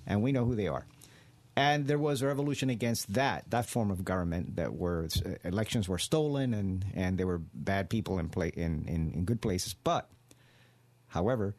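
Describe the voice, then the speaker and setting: A man's voice: lowish voice, darkish tone. An older man from Cuba, a radio guest telling his family story in an earnest, digressive way. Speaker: studio guest.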